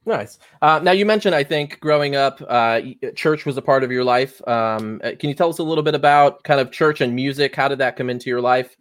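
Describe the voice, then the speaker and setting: A man hosts a casual podcast interview. He hesitates often and fills gaps with "uh" and "um," keeping things relaxed. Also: medium pitch, brisk, few pauses. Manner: hesitant, many "uh" and "um" fillers. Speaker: podcast host.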